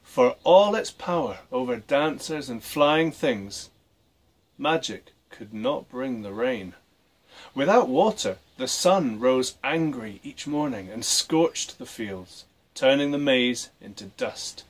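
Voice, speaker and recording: A man reading a story aloud for children, with expressive, deliberate phrasing. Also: mid-range voice, poor audio. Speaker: storybook reader and presenter.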